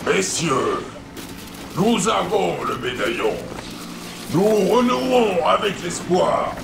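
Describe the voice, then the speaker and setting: A man's voice: high voice, animated, slow, creaky, roomy sound, background noise, full character voice. A man voicing a smug, scheming male pirate captain villain who talks in a mocking, grandiose and coldly polite way. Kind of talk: amateur parody audio drama.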